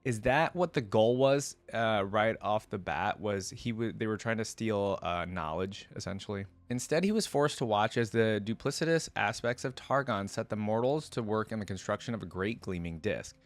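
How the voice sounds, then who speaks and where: A man's voice: lowish voice, moderate pace, few pauses. A man hosting a reaction video, switching between casual, rambling commentary and reading text aloud. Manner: informal, rambling asides. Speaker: host of a game lore reaction channel.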